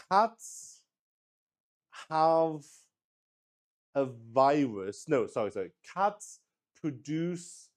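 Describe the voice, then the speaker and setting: Male streamer, mid-range voice, unhurried and rambling, improvised casual chat. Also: medium pitch, darkish tone. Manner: hesitant, rambling, thinking aloud. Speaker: streamer hosting a solo tabletop roleplay stream.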